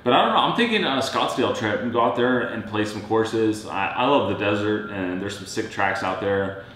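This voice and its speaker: A man in his thirties from Serbia, co-hosting a casual chat in a relaxed, rambling way. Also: moderate pace. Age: 30s. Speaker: co-host. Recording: very echoey room, faint rumble.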